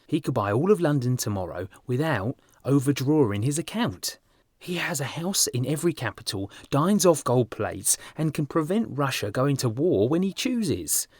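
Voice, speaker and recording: Man acting a breezy, flamboyant gentleman painter, animated pitch. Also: normal speed, no echo. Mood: amused, grand. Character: amused, worldly, teasing young gentleman painter. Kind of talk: audiobook character dialogue.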